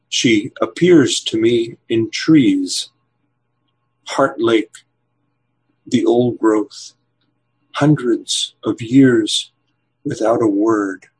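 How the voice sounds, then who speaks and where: A man in his seventies, a poet, reading a poem slowly and then answering interview questions with many pauses and fillers. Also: medium pitch, husky, low-quality recording. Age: 70s. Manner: slow measured reading, hesitant with fillers when chatting. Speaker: poet and novelist guest.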